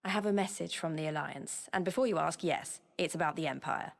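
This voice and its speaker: A woman's voice: deep voice, fairly quick, gravelly. An actress playing a friendly, informal woman soldier in a video game cutscene, talking in a frank, wry and casual manner. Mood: teasing. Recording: music behind the voice. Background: game music.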